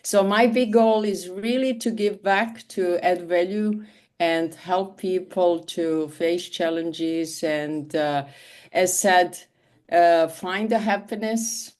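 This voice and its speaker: A woman's voice: lowish voice, slow, a little mellow. A woman in her fifties from Switzerland, a leadership coach introducing herself on a video call, hesitant and full of fillers and restarts. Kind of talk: online call self-introduction.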